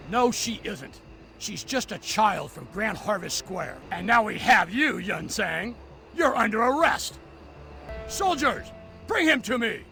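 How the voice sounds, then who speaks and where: An actor playing a stern commanding official in a game cutscene, declamatory and giving orders. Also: male voice, high voice, very animated, unhurried, vocal fry, pushed and bright, faint music behind, full character voice. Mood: triumphant.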